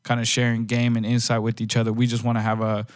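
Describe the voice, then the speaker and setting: A man's voice: mid-range voice, completely flat delivery, fast, smooth, dry recording, clean studio sound. A man hosting a casual hip-hop talk show, speaking in a slangy, teasing way. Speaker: radio show host.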